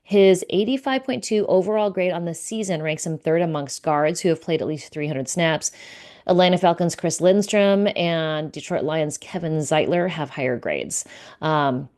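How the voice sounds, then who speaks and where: A woman's voice: low voice, normal speed, darkish tone. A woman reporter casually recapping team news, relaying coach quotes and reading out player stats with frequent fillers. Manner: conversational, many fillers, reads out stats and quotes. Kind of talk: casual solo recap to camera.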